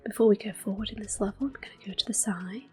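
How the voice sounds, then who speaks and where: A woman softly whispering gentle, unhurried ASMR commentary as they play a video game, explaining each step in a breathy voice. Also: medium pitch, soft background music. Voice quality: breathy whispering, soft-spoken. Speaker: gaming ASMR video host. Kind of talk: soft-spoken ASMR gameplay commentary.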